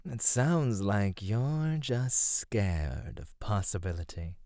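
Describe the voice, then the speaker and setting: A man's voice: on the low side, very animated, slow pace, dark tone, dry recording, studio quality. A man voicing a sly, flirty immortal god of beauty in an ASMR roleplay, teasing and coaxing without hurry.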